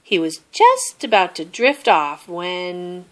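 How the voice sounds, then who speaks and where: A woman reading a children's story aloud as an expressive narrator. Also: on the low side, takes it slowly. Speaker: storybook reader.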